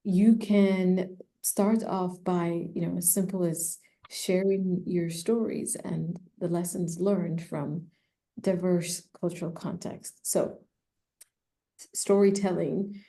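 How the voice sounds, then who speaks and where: A woman who specializes in personal branding, giving measured, thoughtful advice in an interview with frequent fillers. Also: lowish voice, fairly even intonation, creaky, warm tone. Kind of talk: conversational expert advice.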